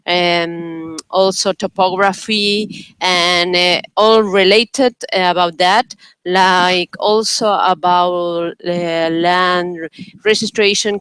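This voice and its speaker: A woman's voice: mid-range voice, fairly bright tone, smooth, no echo, poor audio. A woman introducing herself as a professor and director on a video call, with hesitant wording and frequent fillers. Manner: hesitant, searching for words, many fillers. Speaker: university professor and institute director.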